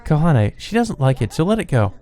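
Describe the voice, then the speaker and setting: Woman playing a sensible, practical girl, raised pitch. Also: sing-song, fairly quick, warm tone. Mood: gently scolding. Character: sensible, polite, matter-of-fact girl, mildly scolding.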